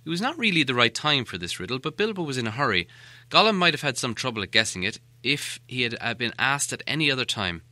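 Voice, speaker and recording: A man narrating a storybook as an audiobook reader, with an expressive, dramatic delivery. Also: mid-range voice, quick pace, no echo. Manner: expressive, animated.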